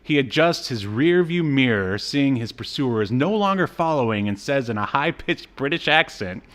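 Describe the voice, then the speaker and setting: A man co-hosts a podcast in a casual, conversational way. His pitch sits in the middle, and he recounts things with wry, sarcastic asides. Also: expressive, moderate pace, a bit bright. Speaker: podcast co-host. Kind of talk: casual episode recap.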